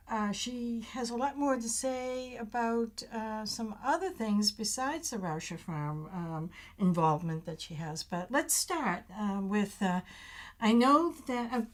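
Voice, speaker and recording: A woman hosting a community TV interview, speaking hesitantly with many ums and uhs. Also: fairly high pitch. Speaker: host.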